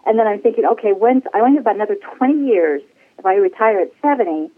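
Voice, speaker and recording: Sixties woman, bubbly guest, mid-pitch, thin phone-line sound. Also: fast talker. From United States. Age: 60s. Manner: bubbly, laughs often. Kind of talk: casual interview. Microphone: phone call.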